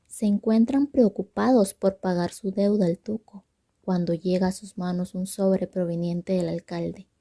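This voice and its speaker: A young woman narrates a scripted story. Her timbre is extremely mellow and dark, with a middling pitch. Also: steady tone, measured pace. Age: young adult. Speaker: student narrator.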